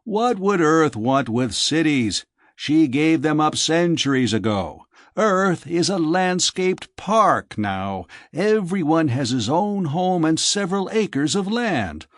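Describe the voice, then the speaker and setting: A man's voice: on the high side, big pitch swings, slow, dry recording, clean studio sound, voice pushed up. A man voicing a stubborn, contradicting male exile in a science fiction audiobook. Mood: corrective. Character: stubborn male exile sure of his idyllic memories.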